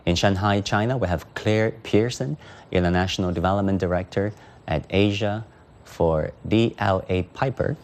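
A male news host with a very low voice introduces his guests briskly. He asks questions in a measured way, with occasional fillers. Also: a little mellow. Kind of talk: live news interview hosting.

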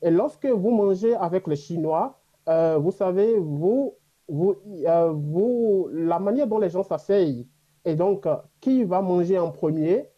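A man's voice: high voice, measured pace, highs cut off. A man from Africa, a native speaker of an African language, answering in an unscripted panel interview, reflective and earnest, with hesitations. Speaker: interview guest living in China.

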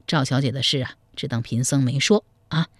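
A man storyteller voicing a sly, scheming male Buddhist monk who counsels in a slow, hushed manner. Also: medium pitch, no room sound, pitched below the performer's own voice, natural voice. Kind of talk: storytelling, acted dialogue.

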